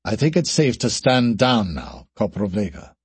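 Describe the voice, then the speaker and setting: A man voicing a calm male ranking officer with a quiet, measured delivery in an audiobook. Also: lowish voice, slightly rough, no room sound, heavily acted.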